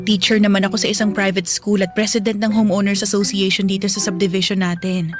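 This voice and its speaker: A woman acts a middle-aged neighbour lady in a radio drama. She is gracious and a little proud, speaks at a medium pitch and slips in English, with music underneath. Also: level tone, fairly quick, close to an everyday voice. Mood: politely proud. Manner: gracious, composed, English phrases mixed in. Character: polite, proud older neighbour lady, teacher. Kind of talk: Tagalog radio drama acting.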